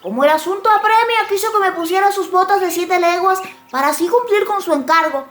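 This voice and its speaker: A woman's voice: very high-pitched, a little fast, fairly bright tone, soft background music, pitched above the performer's own voice. A woman voicing a tiny, clever little boy in a dramatized fairy tale, speaking with earnest urgency.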